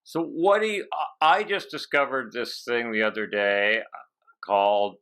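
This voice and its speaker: A man's voice: mid-range voice, measured pace, sharp tone, clean tone. A man hosting a casual interview, chatting and asking questions. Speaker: interviewer, show host.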